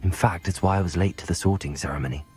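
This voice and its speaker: A man's voice: low voice, quick pace, a little mellow, slight background noise, close to an everyday voice. A man voicing a sincere, plucky teenage schoolboy hero in a video game, earnest and understated. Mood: confiding, earnest. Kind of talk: video game cutscene acting.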